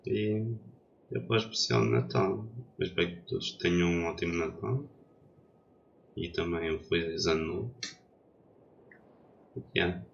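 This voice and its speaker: A man's voice: sits low, animated, a little slow, creaky, pauses often, dull audio, slight background noise. A young man, stuffy from a cold, chatting hesitantly while setting up a gaming livestream. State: congested from a cold. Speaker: streamer. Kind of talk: casual gaming livestream chat.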